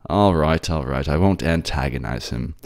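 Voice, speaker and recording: A man's voice: rumbling low, lively intonation, moderate pace, creaky, heavily acted. A man voicing a suave, teasing prince of the sea people in an audio drama, courtly and sardonic with old-fashioned turns of phrase. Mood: dry, mocking.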